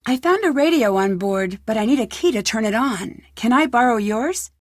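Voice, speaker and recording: A woman's voice: fairly high pitch, expressive, normal speed, no room sound, clean studio sound. An actress playing a polite, inquisitive young woman detective in a video game, with an earnest, probing way of talking. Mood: curious. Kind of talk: video game character dialogue.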